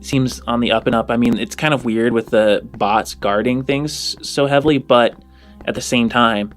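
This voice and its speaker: A man's voice: medium pitch, fairly even intonation, normal speed. A man improvising narration as game master of a tabletop role-playing game. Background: music.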